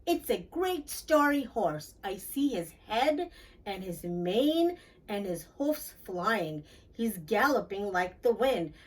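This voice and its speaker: A woman's voice: medium pitch, big pitch swings, slow, far from the mic, poor audio. A woman voicing a self-important male farm horse in a storybook, pompously declaring. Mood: satisfied.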